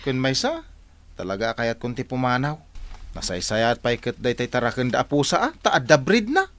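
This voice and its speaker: Bright, animated, put-upon working man. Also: medium pitch, normal speed. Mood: musing, weary. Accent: Ilocano. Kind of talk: Ilocano radio drama acting.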